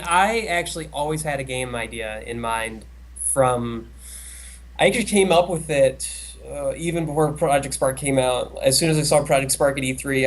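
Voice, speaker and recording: A man co-hosting a casual podcast chat, talking in a hesitant, rambling way with many restarts. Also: mid-range voice. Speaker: co-host.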